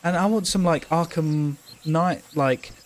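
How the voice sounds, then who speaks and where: A man's voice: on the high side, a little slow. A man co-hosting a casual gaming livestream, thinking aloud in a rambling, sweary way. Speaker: game streamer co-host.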